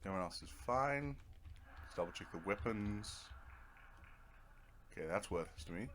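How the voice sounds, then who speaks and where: A man's voice: fairly low pitch, animated, moderate pace, fairly bright tone, a little hiss or hum. A man giving casual let's-play commentary, offhand and muttering to himself as he plays. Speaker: gamer playing and narrating a video game.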